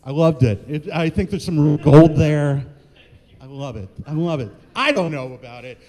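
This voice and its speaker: A man from Mississippi with a Mississippi Southern accent, doing a halting stand-up roast full of uhs and repeated lines. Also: fairly high pitch, a loud crowd. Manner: halting, lots of uh, repeats phrases. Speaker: stand-up comedian. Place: comedy club stage. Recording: long echoing hall reverb.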